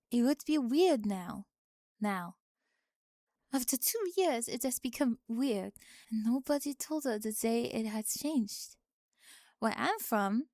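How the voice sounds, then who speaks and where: Woman playing a forward, high-voiced French exchange student. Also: warm tone, dry recording, studio quality. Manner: blunt, self-amused, searching for words. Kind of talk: ASMR roleplay acting.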